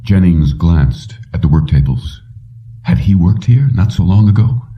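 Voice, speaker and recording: Deep voiced guy reading a suspense audiobook, measured short phrases, bit dull sounding and distant. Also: expressive. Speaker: audiobook narrator. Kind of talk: scripted narration of a suspense story.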